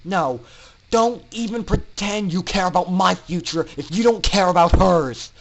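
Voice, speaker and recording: A man's voice: sits high, measured pace, vocal fry, voice pushed up, full character voice. A man voicing a defiant, seething young male pegasus student in a dramatic story reading. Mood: defiant, indignant. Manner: defiant, speaking through clenched teeth.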